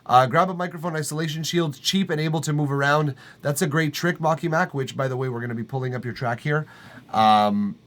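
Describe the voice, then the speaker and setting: Casual, profane man hosting a live stream. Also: lowish voice. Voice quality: tenor voice. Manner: loose, profane, rambling with fillers and restarts. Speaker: livestream music feedback host. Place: acoustically treated home studio room.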